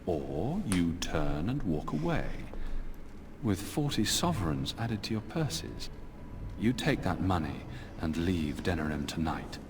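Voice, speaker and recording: Condescending young noble villain, deep and animated, fantasy game, noisy background. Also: male voice, unhurried. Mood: wary, bargaining. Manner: condescending, smooth-talking, menacing. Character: smug, entitled young nobleman villain bargaining for his life. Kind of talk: fantasy video game dialogue.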